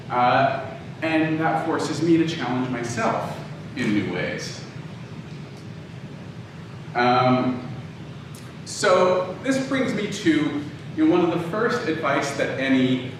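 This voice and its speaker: A man giving an informal, conversational lecture, often halting and backtracking to rephrase as he tells personal anecdotes. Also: fairly high pitch. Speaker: lecturer, audio drama writer and producer. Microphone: distant from the speaker. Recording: very echoey room, distant mic, clearly noisy.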